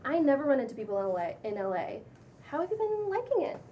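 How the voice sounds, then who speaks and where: A woman acting as a trendy, breezy young LA woman with a high voice and an affected air. It is a TV pilot table read, picked up from a distance with faint room noise. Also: big pitch swings, fast talker, dark tone.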